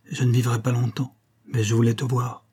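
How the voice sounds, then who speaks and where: A man voicing a frail old female servant in a storybook reading, faint, soft and resigned, gently reproachful. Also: mid-range voice, flat delivery, normal speed, husky, natural voice. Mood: weary. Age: older. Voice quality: faint, lifeless voice.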